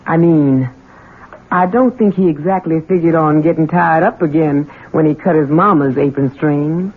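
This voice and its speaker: A woman's voice: low voice, moderate pace, narrow, tinny audio, natural voice. An actress playing a loyal, knowing Southern housekeeper and mother in a 1970s radio drama, folksy and deferential to her master. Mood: wry. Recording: band-limited, noisy old radio recording.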